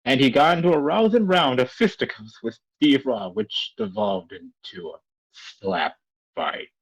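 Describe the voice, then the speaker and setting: A man co-reading a comic script casually on a livestream, halting and stumbling over the words. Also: mid-range voice, lively intonation, breathy edge, low-quality recording. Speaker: co-reader on a comedy livestream. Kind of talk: casual read-aloud narration.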